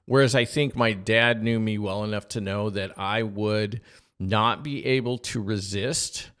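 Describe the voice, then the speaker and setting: A middle-aged man, a podcast co-host, tells personal stories in a casual, halting way and acts out remembered dialogue. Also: on the low side, a bit bright, clear, resonant tone. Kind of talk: casual conversational storytelling.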